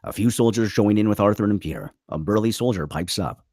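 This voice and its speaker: A man's voice: lowish voice, fairly quick, mellow, no room sound, clean studio sound. A man reading screenplay stage directions as the narrator of a table read.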